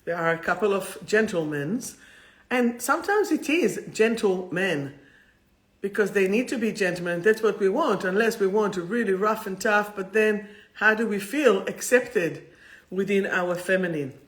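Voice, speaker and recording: A woman's voice: mid-range voice, expressive, normal speed. A woman with an Israeli accent hosting a chatty livestream spiritual talk in an effusive, playful, rambling manner. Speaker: host of a livestream soul talk.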